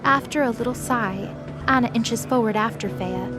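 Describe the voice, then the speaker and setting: High-voiced woman narrating over music. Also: normal speed. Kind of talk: scripted story narration.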